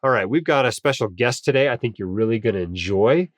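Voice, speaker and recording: A man's voice: medium pitch, expressive, fast, dry recording, clean studio sound. Man with a cold, slightly nasal. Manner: upbeat, chatty, frequent "uh" fillers. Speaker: podcast host. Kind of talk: casual podcast monologue and interview intro.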